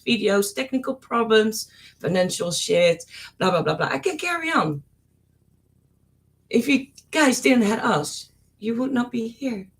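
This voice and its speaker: A woman from the Netherlands with a Dutch accent, co-hosting a casual live stream, teasing, giggly and outspoken. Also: mid-range voice. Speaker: co-host, behind-the-scenes organiser.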